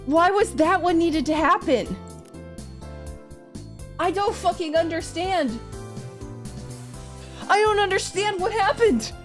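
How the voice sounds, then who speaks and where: A woman streamer chatting casually through a game, expressive and giggly, often swearing and interrupting herself. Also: very high voice, slow pace, long pauses, over music. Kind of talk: live visual novel playthrough commentary, casual chat.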